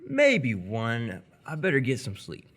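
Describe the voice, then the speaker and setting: A man playing an awkward, polite seventeen-year-old boy in live radio theatre, speaking in a halting, casual, dryly humorous way. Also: medium pitch, huge pitch swings, fairly bright tone, full character voice. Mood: awkward, low-key. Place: live stage performance before an audience.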